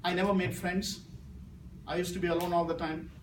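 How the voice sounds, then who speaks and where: A man's voice: high-pitched, level tone, brisk. A middle-aged man with an Indian English accent, a native Malayalam speaker, giving a speech as guest speaker at a club meeting and telling stories that swing from grave to playful. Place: meeting room with audience. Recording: echoey room from far off, noisy.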